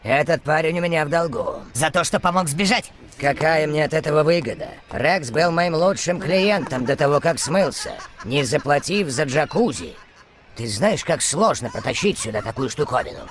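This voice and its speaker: An actor playing a gruff, sly old male prison inmate who wheels and deals, in a drawling, knowing way. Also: a little high, lively intonation, moderate pace, noisy background, lightly acted. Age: older. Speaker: voice actor in a game dub. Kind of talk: dubbed game cutscene acting.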